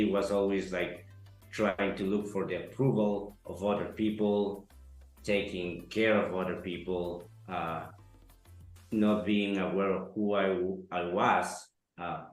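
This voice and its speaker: A man from Ecuador, giving an earnest, halting testimonial interview full of fillers. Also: low voice. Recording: echoey room, soft music behind.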